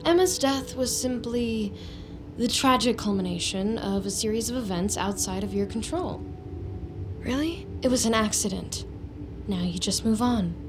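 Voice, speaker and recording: A woman's voice: fairly high pitch, normal speed, noisy background, natural voice. An actress playing a mousy, self-pitying young woman in a confessional audio drama monologue, wry and self-justifying. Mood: shaken, rationalizing. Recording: music under the voice. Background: music.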